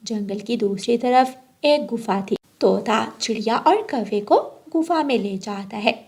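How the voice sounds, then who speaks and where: A woman's voice: fairly high pitch, measured pace, darkish tone, husky. A woman narrating a scripted children's cartoon story.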